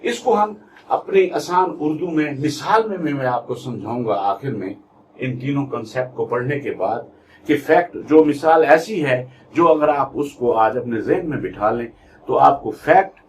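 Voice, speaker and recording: A man's voice: medium pitch, slight background noise. A man with a Pakistani accent giving an explanatory law lecture, mixing Urdu and English in a didactic, word-by-word way. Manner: didactic, reads definitions out word by word then explains.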